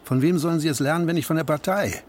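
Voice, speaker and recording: An actor playing a stern, lecturing party secretary in a radio play, speaking in biting, declamatory slogans. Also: male voice, on the high side, fast talker, slight background noise, close to an everyday voice. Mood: stern, sardonic. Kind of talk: stylised radio play dialogue.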